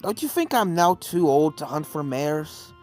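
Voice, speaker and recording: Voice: mid-range voice, very animated, a little slow, a little mellow. A reader voicing a grumpy, envious older male unicorn in a fantasy story, curt and grumbling, over game music.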